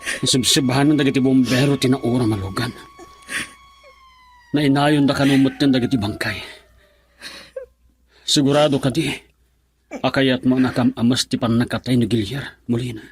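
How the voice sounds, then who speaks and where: A man's voice: medium pitch, fairly even intonation, moderate pace, long pauses, close to an everyday voice. A man playing a grave, concerned character who consoles someone in a radio drama. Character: grave, concerned man breaking news of a death. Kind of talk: Ilocano radio drama acting.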